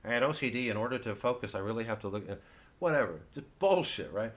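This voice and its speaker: Low-voiced man on a profane comic rant. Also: lively intonation, quick pace. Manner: blunt, indignant, swears freely. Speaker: comedian. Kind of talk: rambling, profane stand-up rant.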